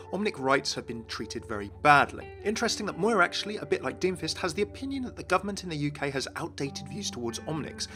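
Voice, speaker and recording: A man's voice: on the high side, sharp tone. A man presenting game lore as an enthusiastic scripted video narration. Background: music.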